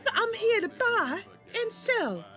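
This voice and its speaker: Very high pitch, chipper young female elf, played by a woman, eager, friendly, fantasy game roleplay, swooping intonation. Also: sing-song, a little slow, pushed and bright, soft background music, exaggerated voice.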